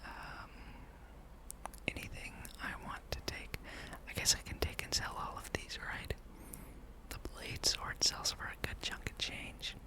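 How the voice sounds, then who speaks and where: A person speaking in a breathy whisper, gently rambling and musing aloud while hosting an ASMR gameplay video. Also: unhurried, poor audio. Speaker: gamer and video host.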